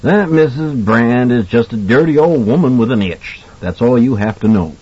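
A man voicing a jovial, kindly older mentor with a husky voice and a folksy, colloquial manner in an audiobook. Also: mid-range voice, animated, normal speed, warm tone, dry recording, highs cut off. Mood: amused, advising. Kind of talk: audiobook character acting.

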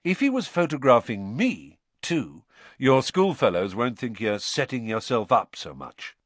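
A jovial, pompous celebrity teacher, acted by a man, booming and pleased with himself. His pitch swings broadly with patronising flourish, in a dry audiobook recording. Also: big pitch swings, measured pace, no echo. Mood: paternal, smug. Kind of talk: audiobook character voice.

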